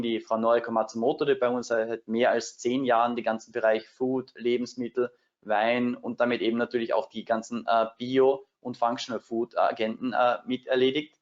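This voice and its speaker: Man, webinar moderator, many filler pauses, mid-pitched voice, slightly muffled online-call sound. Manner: frequent filler hesitations.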